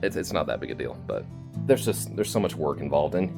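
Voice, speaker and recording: A man with a low voice speaks informally, as a creator addressing his audience. He rambles and pauses to think aloud, keeping things conversational. Music plays clearly behind his voice. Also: big pitch swings. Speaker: storytelling channel creator and host. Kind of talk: casual, rambling thank-you vlog to listeners. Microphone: phone video recording.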